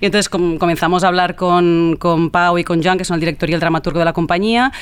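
A woman, a journalist and author, talking as a radio guest in a relaxed, thoughtful way, pausing and laughing often. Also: fairly low pitch, smooth. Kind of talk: casual interview talk.

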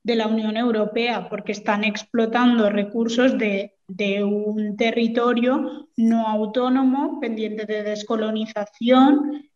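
Woman, fairly high voice, muffled hall recording, measured and hesitant, formal political explanation, interview guest. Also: steady tone, a little mellow. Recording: reverberant hall, muffled sound.

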